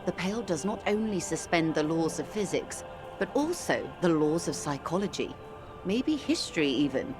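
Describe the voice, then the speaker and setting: Low-voiced, composed woman negotiator. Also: big pitch swings, over music. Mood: grave, explanatory. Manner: measured, articulate, world-weary, explanatory. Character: composed, wealthy middle-aged female corporate negotiator. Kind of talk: video game character dialogue.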